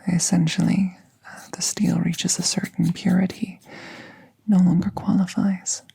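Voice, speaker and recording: A woman playing a gentle, playful fae veterinarian in a soft, soothing ASMR roleplay, with breathy, whispery speech and formal wording. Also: fairly low pitch, little pitch movement, measured pace, heavily acted, rough recording. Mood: gentle, wry. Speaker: audio roleplay performer.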